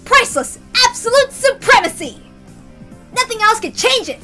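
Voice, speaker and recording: A woman's voice: extremely high pitch, sing-song, normal speed, pushed and bright, faint music behind, voice pushed up, full character voice. A woman voicing a proud, easily flustered teenage boy athlete with a secret, dramatic and panicky, in a visual novel reading. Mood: boastful. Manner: dramatic, panicked wailing and protesting. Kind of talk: animated visual novel read-aloud.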